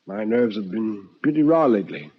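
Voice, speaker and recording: A man playing an anxious, easily rattled housemate in a ghost-story drama, talking in a halting, protesting way. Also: lowish voice, sing-song, breathy edge, narrow, tinny audio. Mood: nervy, confessing. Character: Rudge, a nervy pale housemate, easily rattled.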